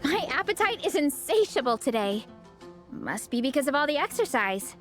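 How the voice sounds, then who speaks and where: A woman's voice: sits high, very animated, moderate pace. A woman voicing a cheerful, earnest young heroine with a huge appetite in an anime-style game. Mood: upbeat, eager. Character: cheerful, ravenous young heroine, earnest comic-book fan. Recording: game music behind.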